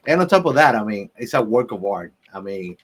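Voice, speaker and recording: Low-voiced man, enthusiastic co-host. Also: very animated, fairly quick, slightly rough. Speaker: podcast co-host. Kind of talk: casual chat, enthusiastic commentary.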